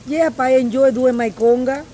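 A woman's voice: sits high, normal speed, dark tone, a little hiss or hum. A middle-aged woman with a Cuban accent, a native Spanish speaker, chatting in an outgoing way in broken English. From Cuba. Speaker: interviewee. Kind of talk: casual chat.

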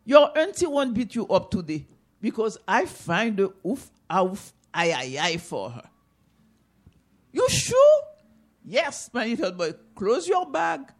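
An older woman storyteller voicing a wise, kind old woman who speaks calmly and reassuringly. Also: on the high side, takes it slowly, heavily acted. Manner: calm and reassuring.

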